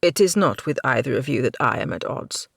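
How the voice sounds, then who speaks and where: A reader voicing a cold, haughty noble knight who speaks formally and with disdain. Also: male voice, mid-range voice, lively intonation, fairly quick, creaky, a bit bright, no echo, studio quality, heavily acted. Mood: disdainful.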